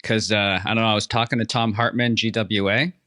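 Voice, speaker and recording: A man's voice: fairly low pitch, steady tone, brisk, sharp tone, dry recording, clean studio sound. A man hosting a podcast, chatting casually and encouragingly with his guest in an upbeat interview. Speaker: podcast host.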